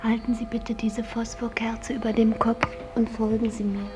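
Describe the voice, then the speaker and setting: High, even-voiced ceremonious female guide played by an actress. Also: lightly acted. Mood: solemn, formal. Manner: formal, measured tour-guide delivery. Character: ceremonious female guide of a sci-fi pleasure house. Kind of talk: scripted radio drama acting. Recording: music behind the voice.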